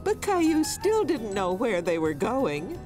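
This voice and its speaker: Very expressive, gentle cartoon narrator. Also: female voice, fairly high pitch, measured pace. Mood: gentle storytelling. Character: gentle female storybook narrator of a preschool cartoon. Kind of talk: scripted children's narration. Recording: music under the voice.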